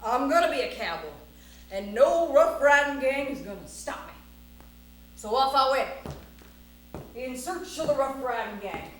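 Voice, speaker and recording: A woman's voice: high-pitched, measured pace, pauses often, a bit bright. A woman playing a plucky, boastful cowboy who spins a tall tale with folksy Western bluster, as live storytelling. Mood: determined, bold. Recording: very echoey room, faint noise.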